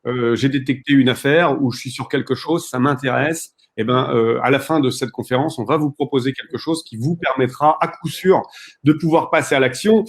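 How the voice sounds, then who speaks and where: A man in his thirties co-hosting an online conference, telling his story in a direct, animated way with frequent fillers. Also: medium pitch, normal speed. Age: 30s. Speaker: real estate investor co-hosting a webinar.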